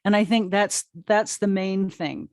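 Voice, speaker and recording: A woman's voice: medium pitch, steady tone, measured pace, darkish tone, no echo, studio quality. A middle-aged American woman talking casually and emphatically as an interview guest, often restarting her sentences. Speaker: interview guest, audio drama producer and writer. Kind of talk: casual conversational storytelling in an interview.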